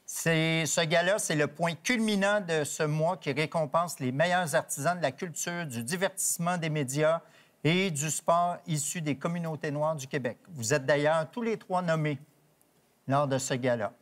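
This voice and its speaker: A man hosting a television talk show, introducing guests in a measured, formal way. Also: on the high side, fairly bright tone.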